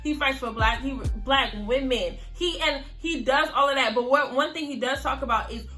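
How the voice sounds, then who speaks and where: A young American woman hosting a casual chat, animated and emphatic, often repeating her phrases. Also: sits high, moderate pace, soft background music. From United States. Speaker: host.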